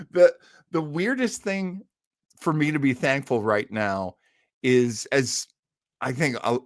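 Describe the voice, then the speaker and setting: Male host, mid-pitched, casual and rambling, reads listener comments with joking asides, dry close recording. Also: fairly bright tone, no room sound. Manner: rambling, halting, jokey asides. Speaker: live show host. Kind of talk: casual chat, reading and answering listener comments.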